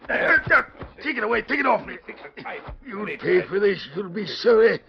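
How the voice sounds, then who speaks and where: An actor playing an excitable but loyal Old West sheriff with a rural Western American accent, sputtering folksy exclamations in 1940s radio style. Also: male voice, high voice, moderate pace, gravelly, background noise. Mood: outraged. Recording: muffled 1940s radio recording.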